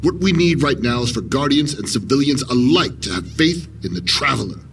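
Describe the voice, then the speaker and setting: An actor playing a stern, angry military commander in an audio drama, scolding with authority. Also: male voice, medium pitch, animated, a little fast, a bit bright, far from the mic, slight background noise. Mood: angry, commanding.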